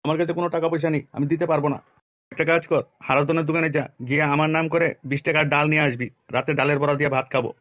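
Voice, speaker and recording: A grumpy, coarse village man from a comedy cartoon, acted by a man. His voice is highish and bright, and he fires off exasperated, sweary lines very fast. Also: fairly even intonation, no room sound, close to an everyday voice. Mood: angry, scolding. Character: grumpy, foul-mouthed village father and shopkeeper men. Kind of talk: comic cartoon dialogue.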